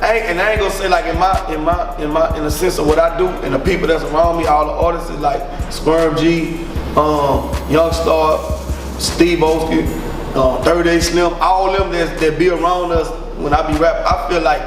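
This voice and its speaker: A deep-voiced rapper from Louisiana with a Southern accent, talking loosely in an interview and leaning on filler words. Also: male voice, fairly even intonation, normal speed. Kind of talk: casual interview. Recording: roomy echo from far off with music.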